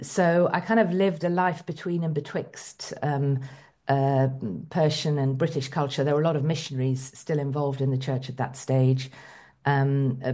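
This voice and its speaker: A middle-aged woman from Iran, a bishop speaking as a guest in an interview, talking in a reflective, measured way with frequent pauses. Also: a bass voice, dark tone. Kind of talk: conversational interview.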